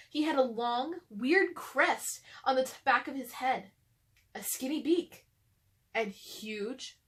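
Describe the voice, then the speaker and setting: A woman hosting a children's read-aloud, narrating a storybook expressively. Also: sits high.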